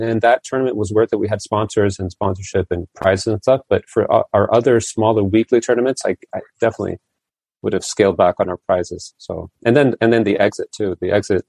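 A man's voice: on the low side, darkish tone, no echo, low-quality recording. A man in his forties, the founder of a coaching business, answering interview questions in a casual way with many restarts and repeated words. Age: 40s.

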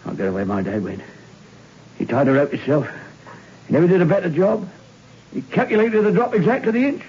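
An actor playing a drunk, terrified male hangman who raves about ghosts in a raspy, gibbering voice, in a 1960s radio drama. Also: lively intonation, fairly quick, darkish tone, full character voice. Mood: hysterical. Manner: gibbering, shrill and breathless. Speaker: prison hangman. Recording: dull lo-fi old radio recording.

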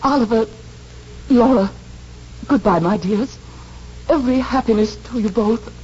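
A woman's voice: on the high side, unhurried, mellow, husky. An actress playing a genteel, anxious middle-aged mother in a 1940s radio drama, polite, hesitant and emotional. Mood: distressed, tender. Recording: muffled, noisy 1940s radio recording.

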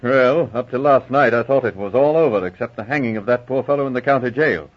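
A man playing a genial county police chief with brisk, hearty officer phrasing, in 1940s radio drama. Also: medium pitch, lightly acted. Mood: worried, businesslike. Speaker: narrator and police colonel. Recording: muffled old radio recording.

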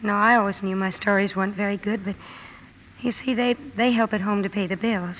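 A woman's voice: medium pitch, steady tone, a bit bright, lightly acted. An actress playing a spirited, tender young woman writer in a 1950s radio drama, with a heartfelt, earnest delivery. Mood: downcast. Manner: heartfelt, earnest, halting when moved. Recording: slightly noisy old radio broadcast.

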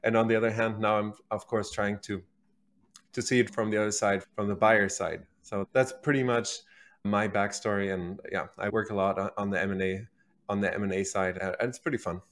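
A man's voice: fairly low pitch, steady tone, a little fast, a bit bright. A man in his thirties from Germany, speaking with a German accent as a podcast guest, casually telling his story. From Berlin, Germany. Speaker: podcast guest, company executive.

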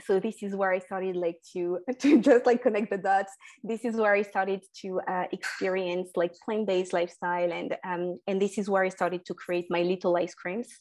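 A woman, talking as a guest in a casual interview, halting with many restarts and fillers and laughing often. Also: medium pitch, steady tone, a little mellow. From France. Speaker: guest, food entrepreneur.

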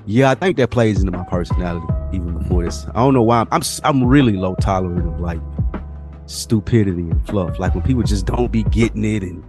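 Young male podcast co-host, direct and slangy, lowish voice, clear music bed. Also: quick pace. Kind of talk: casual chat.

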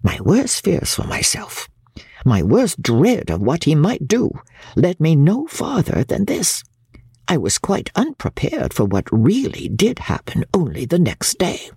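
Casting: woman reader as proud middle-aged Victorian mother, spiteful and emphatic, gravelly breathy voice, classic-novel audiobook. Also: sits low, huge pitch swings, warm tone, dry recording, clean studio sound, pitched above the performer's own voice, exaggerated voice. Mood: resentful, uneasy. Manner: haughty, emphatic, deliberate storytelling. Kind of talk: dramatic audiobook reading of classic literature.

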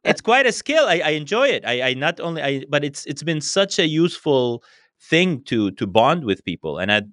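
A man's voice: fairly high pitch, big pitch swings, normal speed, fairly bright tone, no echo, clean studio sound. A man from Syria whose native language is Arabic, answering interview questions conversationally and repeating words as he thinks. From Syria, raised partly in Spain. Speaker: interview guest.

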